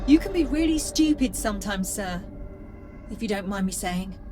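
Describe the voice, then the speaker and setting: A woman's voice: medium pitch, animated, normal speed, over music, natural voice. A woman voicing a loyal, composed AI assistant in a video game, polite and precise, frankly protective of the one she serves. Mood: alarmed, protective. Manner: polite, precise, addresses boss as sir.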